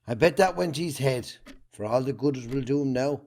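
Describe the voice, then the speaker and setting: A man's voice: medium pitch, fairly quick, darkish tone, natural voice. A man acting a bitter, cantankerous Irish farmer in an amateur drama, curt and sarcastic. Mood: bitter musing.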